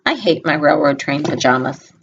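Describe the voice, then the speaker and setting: A woman's voice: low voice, expressive, normal speed, highs cut off, a little hiss or hum. A woman reading a children's picture book aloud expressively, with simple instructive asides to young listeners. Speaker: storybook reader.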